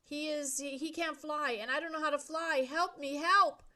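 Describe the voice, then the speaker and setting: A woman's voice: high-pitched, steady tone, moderate pace, bright, forceful tone, voice pushed up. A woman voicing a panicked teenage boy stranded in a plane, calling frantically for help with a cracking voice. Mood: frantic.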